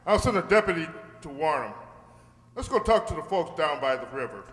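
A man's voice: on the high side, sing-song, fairly quick, fairly bright tone. A man playing a worried, folksy small-town sheriff in an old-time radio western. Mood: decisive. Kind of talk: old-time radio drama acting, read from script. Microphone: stand microphone. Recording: echoey hall, faint room noise.

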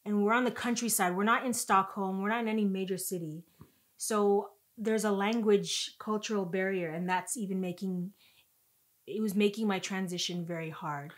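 A woman's voice: medium pitch, normal speed. Young woman chatting on a sofa. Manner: animated storytelling. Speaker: vlogger. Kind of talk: casual chat. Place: living room, indoors.

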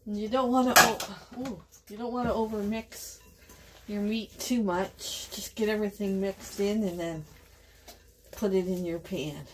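A middle-aged woman with a Canadian accent, chatting to viewers in a relaxed, rambling way while cooking in her home kitchen. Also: mid-range voice, a little slow, background noise. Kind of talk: chatty kitchen vlog narration. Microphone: camera on counter.

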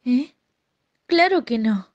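Woman acting doubting young kid, blunt, high voice, slow, lo-fi manga fandub. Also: animated. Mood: skeptical. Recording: dull lo-fi home microphone.

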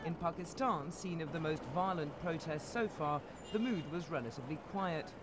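A woman reporter reading a scripted news voice-over in a measured, formal delivery. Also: low-pitched. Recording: loud crowd and street noise behind.

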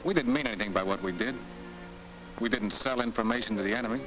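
An actor playing the regretful ghost of an American soldier in a plain-spoken 1940s film monologue. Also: male voice, on the low side, fast talker, close to an everyday voice, rough recording. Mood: rueful. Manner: plain-spoken, rueful, confessional. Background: music.

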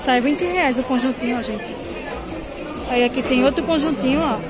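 A woman's voice: high-pitched, moderate pace. A woman, filming a casual walk through market stalls on her phone and calling out prices to her viewers. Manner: chatty, points out prices, asks vendors questions. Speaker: vlogger touring a market. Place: busy market stalls, half outdoors. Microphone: handheld phone camera. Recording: loud crowd noise behind. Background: loud market crowd.

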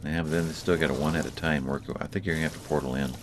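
A man's voice: rumbling low, steady tone, very fast, slight background noise. A man hosting a let's play, casually coaching his partner through tactics. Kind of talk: casual gameplay commentary. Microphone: desktop USB mic.

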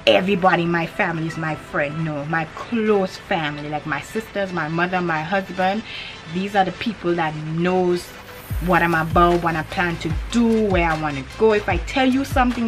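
A young woman from Grenada with a Grenadian accent, chatting animatedly to the camera in a vlog and repeating her points for emphasis. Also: lowish voice. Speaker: vlogger. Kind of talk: casual to-camera chat. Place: indoors in front of a hanging cloth backdrop. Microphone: camera in front at head-and-shoulders framing.

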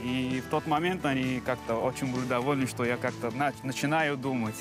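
A man's voice: medium pitch, fairly even intonation, brisk, over music. A man in his thirties from Syria, a dental resident, talking in a friendly, thoughtful way with non-native phrasing, outdoors on a campus street. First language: Arabic. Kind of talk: casual interview.

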